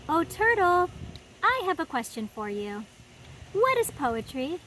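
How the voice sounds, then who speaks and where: A woman's voice: very high voice, sing-song, measured pace, noisy background, pitched above the performer's own voice, exaggerated voice. A woman voicing a curious, eager little boy in a storybook, asking polite, wondering questions.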